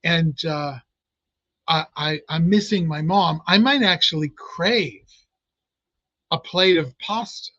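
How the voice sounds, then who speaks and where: A man's voice: fairly high pitch, takes it slowly, pauses often, bright, forceful tone, dry recording, lo-fi sound. A man, a clinical hypnotist, explaining his work in a casual interview, with frequent fillers and restarts. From Italian American family.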